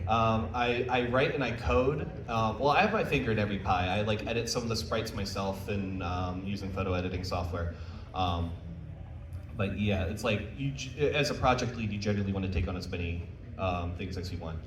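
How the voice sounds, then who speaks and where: A man's voice: fairly low pitch, brisk. A man giving an informal, jokey live talk as a panel presenter, taking questions in a conversational way. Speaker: convention panel presenter, visual novel developer. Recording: roomy, distant mic, faint background noise.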